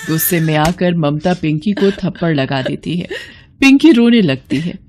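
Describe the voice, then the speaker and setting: A woman's voice: fairly low pitch, lively intonation, normal speed, a little mellow, a little hiss or hum. A woman reading scripted narration as storyteller of a children's moral tale.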